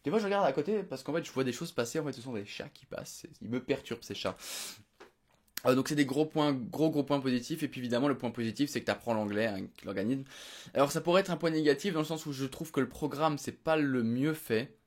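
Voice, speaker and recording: Young male vlogger, quick rambling delivery, lots of fillers, unscripted home recap. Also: mid-range voice. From Belgium. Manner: rambling, many fillers and restarts. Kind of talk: unedited casual recap to camera. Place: home room.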